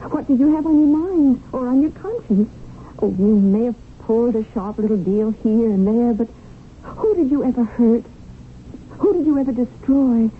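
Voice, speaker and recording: Actress as a high-voiced wounded wife. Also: female voice, normal speed, natural voice. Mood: knowing, needling. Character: plain, wounded wife, knowing and quietly reproachful. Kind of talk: 1970s radio drama acting. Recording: dull, noisy old radio broadcast recording.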